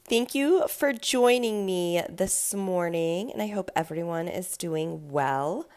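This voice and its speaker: Slow-talking congested woman host. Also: fairly low pitch, expressive, darkish tone. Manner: candid, reflective, with frequent ums. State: congested, nasal from a sinus infection. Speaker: podcast host, yoga and fitness instructor. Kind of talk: casual solo talk.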